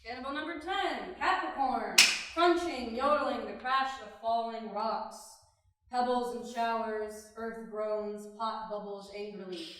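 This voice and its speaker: Slow, declaiming woman in echoey hall. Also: on the high side. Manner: declaiming short descriptive phrases. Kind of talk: dramatic scripted play reading. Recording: echoey hall, distant, slight noise.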